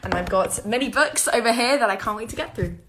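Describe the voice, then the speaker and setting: A woman's voice: fairly high pitch, animated, quick pace, a bit bright. A teenage girl from New York City with a mostly British accent, answering fan questions in a bubbly, giggly, chatty vlog from her bedroom. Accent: British with occasional American traces. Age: teens. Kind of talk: casual Q&A vlog. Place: bedroom at home. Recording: echoey room.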